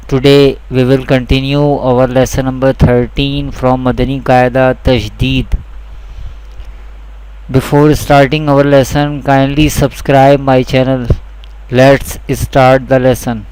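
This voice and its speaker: Slow, clear-voiced male teacher. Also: mid-range voice, a little mellow, rough recording. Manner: deliberate, repeats each point twice. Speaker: Quran teacher. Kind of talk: slow, repetitive instructional lesson.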